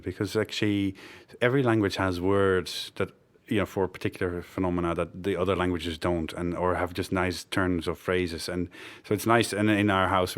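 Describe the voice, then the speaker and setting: A middle-aged man from the Netherlands, whose first language was Dutch, answering interview questions thoughtfully with frequent hesitations. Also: deep voice. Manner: thoughtful, hesitant, with fillers and self-corrections. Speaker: interview guest, director of a development network. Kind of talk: reflective conversational interview answers.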